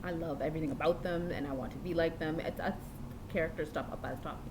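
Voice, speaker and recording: A woman's voice: low voice, steady tone, quick pace, noisy background. A woman rambling through a diary-style vlog, meandering and often restarting or correcting herself. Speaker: vlogger.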